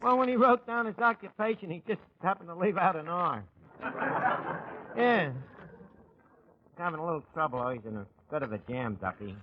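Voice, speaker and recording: An actor playing a slangy, wisecracking New York tavern manager in a 1940s radio comedy. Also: male voice, a little high, lively intonation, moderate pace, pauses often, slight background noise, lightly acted. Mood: chatty, wry. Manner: slangy, ungrammatical, sardonic. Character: wisecracking, malaprop-prone male manager of a tavern. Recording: muffled 1940s radio broadcast.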